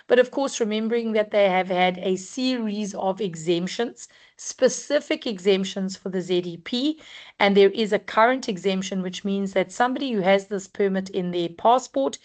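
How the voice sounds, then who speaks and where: A woman from South Africa with a croaky voice, presenting a work-compliance webinar in a measured, explanatory way with frequent ums. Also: mid-range voice. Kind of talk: scripted-free webinar talk.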